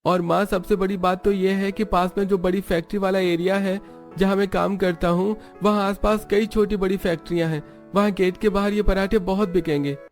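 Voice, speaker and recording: A man voicing a cheerful, persuasive young husband and son in an animated family story. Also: sits high, moderate pace, soft background music, pitched above the performer's own voice. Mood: upbeat. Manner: upbeat, persuasive.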